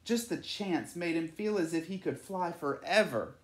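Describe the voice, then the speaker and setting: A man's voice: on the high side, animated, moderate pace. A man reading a children's picture book aloud as a storyteller. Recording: echoey room.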